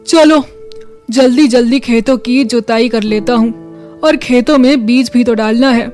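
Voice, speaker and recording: A woman voicing a good-natured, hardworking village farmer husband in a children's story, with loud, emphatic delivery. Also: on the high side, measured pace, faint music behind, close to an everyday voice. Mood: eager. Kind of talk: children's moral story dialogue.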